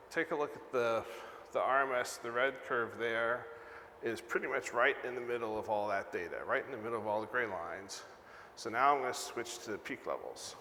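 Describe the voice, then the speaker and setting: A man's voice: medium pitch, pushed and bright. An older man giving a technical conference lecture in an explanatory way, repeating points for emphasis, speaking on stage into a lapel mic. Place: large conference hall stage, indoors. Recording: echoey hall PA, some background noise.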